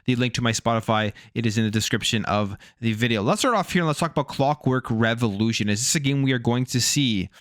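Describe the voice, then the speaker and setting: A man's voice: mid-range voice, fast talker, hardly any pauses, dry recording, clean studio sound. A man presenting video game news as a host, in a casual commentary style. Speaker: gaming news YouTube host.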